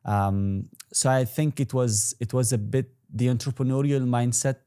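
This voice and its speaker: Young man with a mellow voice. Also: medium pitch, steady tone, moderate pace, clean tone. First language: Arabic. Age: 20s. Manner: rambling, frequent restarts and fillers. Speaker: guest entrepreneur. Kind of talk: casual interview talk.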